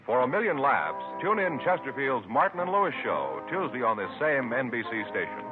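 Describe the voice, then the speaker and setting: An American man works as a radio announcer and pitchman with lively, swinging intonation. The old recording is muffled, with music behind him. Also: a little fast. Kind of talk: 1950s radio announcing and advertising.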